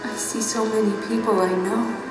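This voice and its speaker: A woman's voice: a little high, fairly even intonation, moderate pace, natural voice. An actress playing a humble, tender woman, earnest and full of wonder, in an audio drama. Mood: awed wonder. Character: humble, tender woman newly arrived in heavenly kingdom. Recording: echoey hall under clear music.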